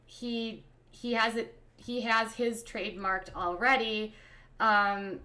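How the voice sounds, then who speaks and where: A woman's voice: mid-range voice, bright, forceful tone. A woman from Minnesota with a Minnesotan accent, hosting a casual commentary stream, talking in an exasperated, emphatic way and calling her viewers "you guys.". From Minnesota, USA. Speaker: commentary channel host.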